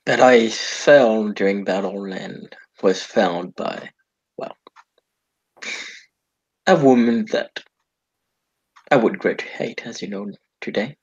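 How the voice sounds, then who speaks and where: A man's voice: lowish voice, takes it slowly, long pauses, husky, highs cut off. A man voicing a formal, courteous male vampire who once fought as a soldier. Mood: courtly. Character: old-world male vampire, former French soldier, courtly.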